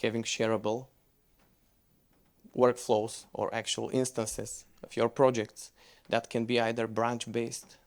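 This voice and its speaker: Male presenter, English, relaxed, conversational, stumbles and restarts mid-sentence. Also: medium pitch, unhurried. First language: Bulgarian. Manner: loose, conversational, with frequent restarts. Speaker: conference speaker. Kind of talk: conference presentation with Q&A.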